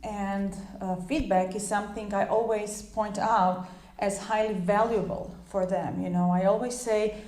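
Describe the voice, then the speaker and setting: A woman whose first language is Croatian talks through an English lesson in a loose, chatty way, often restarting her sentences and leaning on fillers. Her voice sits at a middle pitch. The room around her gives a noticeable echo. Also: a little mellow. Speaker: English teacher. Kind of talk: informal spoken lesson.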